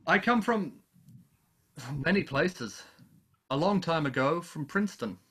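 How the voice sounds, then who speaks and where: A man's voice: fairly high pitch, expressive, unhurried, fairly bright tone, husky, exaggerated voice. An actor playing a weary, lonely man who survived an invasion, speaking in a solemn, literary manner in a radio play. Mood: startled, hesitant. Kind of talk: dramatic radio play narration and dialogue.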